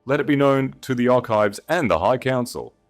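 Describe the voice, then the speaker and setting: A man voicing a solemn, commanding guard captain in a storybook, proclaiming formally and forcefully. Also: medium pitch, expressive, moderate pace, faint music behind, pitched above the performer's own voice, natural voice.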